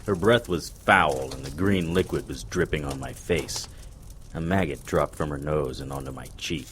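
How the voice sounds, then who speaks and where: An actor playing a wry, unflappable occult detective, narrating an audio drama in a dry noir style. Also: male voice, very deep voice, moderate pace, fairly bright tone, a little hiss or hum. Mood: grim.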